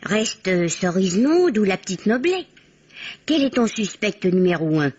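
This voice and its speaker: An actress playing a naive, impressionable spinster, a sleuth's admiring sidekick, speaking with dramatic wonder in an old French radio play. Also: female voice, mid-range voice, big pitch swings, unhurried, darkish tone, slightly rough. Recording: dull, lo-fi old radio recording.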